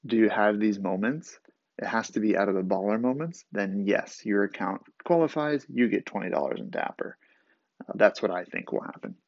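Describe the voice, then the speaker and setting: A man hosting a casual solo podcast with a raspy, groggy voice, rambling with fillers and restarts. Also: lowish voice, expressive, normal speed, dry recording, dull audio. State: groggy after a vaccine. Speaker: podcast host.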